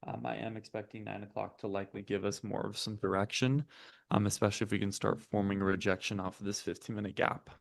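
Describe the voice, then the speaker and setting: Low gravelly man calling live market setups. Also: flat delivery, fast talker, dry recording. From United States. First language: French. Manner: methodical, calls out price levels and setups; chats with viewers between chart reads. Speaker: day-trading stream host.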